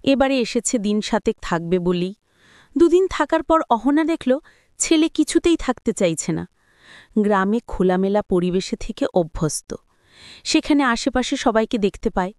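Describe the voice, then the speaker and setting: A woman's voice: fairly high pitch, expressive, normal speed, darkish tone, no echo, clean studio sound. A woman storyteller reading a dramatised audio story as scripted narration.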